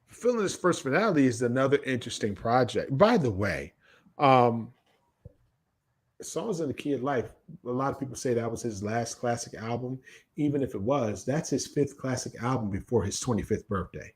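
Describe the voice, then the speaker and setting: A man's voice: mid-range voice, lively intonation, normal speed, slightly rough. A man in his late forties casually debating music as a podcast co-host, emphatic and opinionated, often repeating his points. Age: late 40s.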